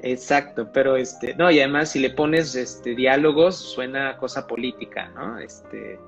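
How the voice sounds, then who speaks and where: A man's voice: mid-range voice, unhurried, creaky. Male guest, enthusiastic and repetitive, dull lo-fi call audio with faint music. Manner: enthusiastic, repeats phrases. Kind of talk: casual live-stream conversation.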